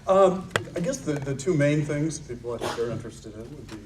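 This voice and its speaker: A man moderating a convention panel, asking short questions and often chiming in with quick agreement. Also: mid-range voice, expressive, noisy background. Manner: brief prompts and agreeing interjections. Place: large convention hall. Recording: echoey hall, distant, with crowd.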